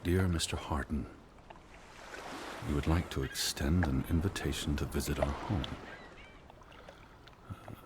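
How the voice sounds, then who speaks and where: An actor playing an earnest, cautious man traveling in the 1920s, speaking in a measured, formal, dry way in a video game. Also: extremely low pitch, vocal fry, noisy background, full character voice.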